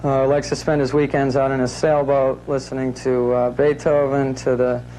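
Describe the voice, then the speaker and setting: A man's voice: mid-range voice, level tone, moderate pace, warm tone, low-quality recording. A man giving unscripted remarks in an old television news interview. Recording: old TV interview, faint noise.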